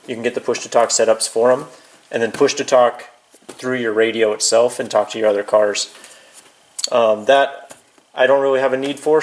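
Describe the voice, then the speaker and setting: A man giving a casual, hands-on how-to demonstration in an informal, offhand way, as if thinking aloud while he works. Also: mid-range voice. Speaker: DIY video presenter. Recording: clear background noise, clicks of handling.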